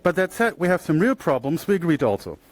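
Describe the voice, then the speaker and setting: A man's voice: on the high side, very animated, quick pace. German man giving an earnest conference talk. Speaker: conference speaker. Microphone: handheld microphone shared with co-speaker.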